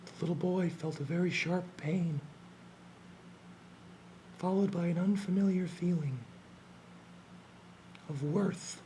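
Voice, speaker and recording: A man telling a fairy tale slowly and deliberately, in a hushed storytelling manner. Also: sits high, slight background noise, low-quality recording. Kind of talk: slow dramatic fairy-tale narration.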